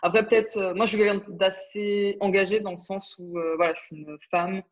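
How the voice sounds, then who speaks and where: A woman's voice: medium pitch, fairly even intonation, brisk, dull audio. A woman in her twenties from France, a startup founder talking casually in an interview, hesitant with many fillers and restarts. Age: 20s. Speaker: startup founder, podcast guest.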